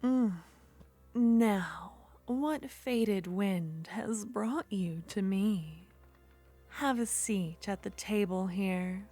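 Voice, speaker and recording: Woman doing a slow-whispering coaxing witch. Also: medium pitch, mellow, soft background music. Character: flirtatious curious female witch, secretive. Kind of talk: ASMR roleplay.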